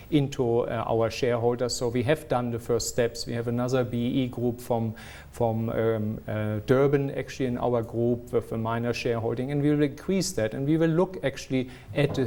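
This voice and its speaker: A man's voice: medium pitch, a little mellow. A middle-aged man with a non-South African accent, a company executive answering business interview questions earnestly, often restarting as he searches for words.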